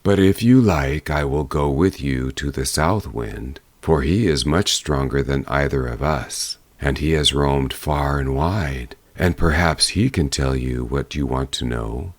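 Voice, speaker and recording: A man voicing a helpful, plain-spoken male wind spirit in a fairy tale, in measured speech. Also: extremely low pitch, no echo, pitched below the performer's own voice. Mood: obliging.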